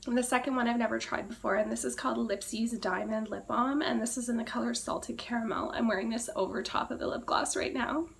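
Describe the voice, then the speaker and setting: A woman with a hoarse, cracking voice she says she is losing, chatting casually through a beauty product review and often clearing her throat. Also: a little high, a little fast, few pauses. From Canada. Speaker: beauty vlogger.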